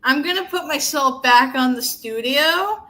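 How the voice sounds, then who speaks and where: Bright, high-voiced woman hosting. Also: animated, a little slow. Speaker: show host. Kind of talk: casual hosting.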